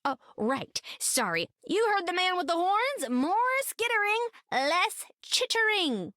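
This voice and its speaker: A bright, perky young game heroine. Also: female voice, extremely high pitch, big pitch swings, slow pace, no echo, studio quality, heavily acted. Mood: sheepish, friendly. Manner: chirpy, folksy, upbeat. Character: perky, cheerful young woman who talks to squirrels. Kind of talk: video game character voice lines.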